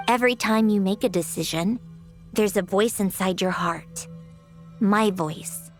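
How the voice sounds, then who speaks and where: An actress playing a weary, sardonic female inventor in a video game, speaking slowly with a dry, sighing delivery. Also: mid-range voice. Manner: dry, sighing, slow and reflective. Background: soft game music.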